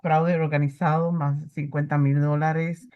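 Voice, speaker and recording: A woman's voice: low-pitched, level tone, normal speed, muffled recording. A woman court interpreter speaking politely through a built-in computer microphone on a video call.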